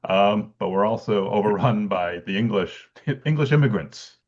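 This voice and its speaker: A male researcher speaking thoughtfully on a video call, pausing and restarting often with light laughs. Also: lowish voice, lively intonation, darkish tone. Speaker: bookselling researcher guest. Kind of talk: conversational explanation. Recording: dull lo-fi call audio.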